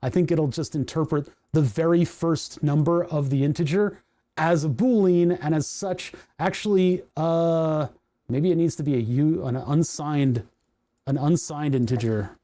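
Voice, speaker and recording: Livestream host, male, fairly high voice, mellow tone, rambling and chatty, a bit hoarse. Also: measured pace. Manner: rambling, chatty, laughs often, reads chat aloud. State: voice starting to go hoarse. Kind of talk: casual livestream chat while coding.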